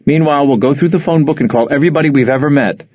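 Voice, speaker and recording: A man voicing a bossy, harried middle-aged wife who gives brisk orders and turns sweet on the phone. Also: on the high side, no echo, voice pushed up, natural voice. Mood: planning. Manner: brisk, commanding, bright phone politeness. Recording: dull, dry studio audio.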